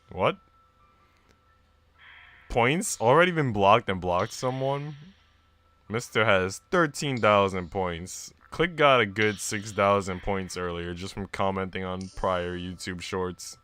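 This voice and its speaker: A man's voice: lowish voice, takes it slowly, sharp tone. A man live streaming in an informal, slangy way, moving between casual chat and reading aloud.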